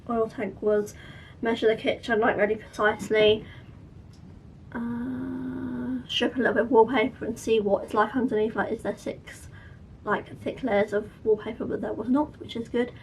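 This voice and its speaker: A young woman with a croaky, sore-throated voice, chatting tiredly through a casual diary vlog. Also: fairly high pitch, recorded from a distance, slight background noise, low-quality recording. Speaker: vlogger.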